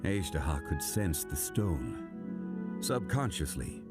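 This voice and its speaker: An actor playing an ancient god in human form, calm, solemn and wistful, in formal, measured video game dialogue. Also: male voice, rumbling low, heavily acted. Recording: loud music behind voice.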